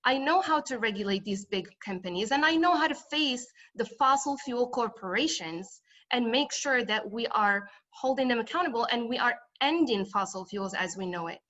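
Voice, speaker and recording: A woman's voice: fairly high pitch, expressive, normal speed, sharp tone, muffled recording. A woman from Morocco speaking as a congressional candidate at a political forum, with emphatic, repetitive campaign phrasing.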